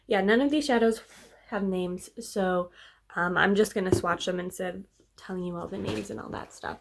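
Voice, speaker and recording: Slightly hoarse, chatty young woman. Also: mid-range voice, normal speed. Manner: chatty, upbeat, trailing off with fillers. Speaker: beauty vlogger. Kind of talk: casual makeup tutorial chat.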